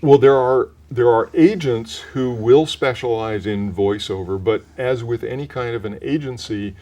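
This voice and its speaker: An older man, a retired professional turned voice actor, with a mellow voice, answering interview questions in a measured, explanatory way with frequent restarts. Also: mid-range voice. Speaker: voice actor guest.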